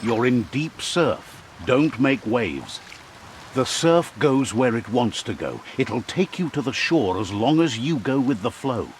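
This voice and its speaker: An actor playing a whimsical, wise storyteller who narrates an animal fable in a video game with theatrical, philosophical delivery. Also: male voice, medium pitch, big pitch swings, measured pace, slight background noise. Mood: wise, reflective.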